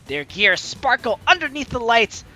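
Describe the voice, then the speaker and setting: A woman hosting a let's play, chatty and excitable, reading game narration aloud with drama and commenting as she goes. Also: on the high side, moderate pace. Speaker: gaming video host. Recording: game music behind the voice. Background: game music.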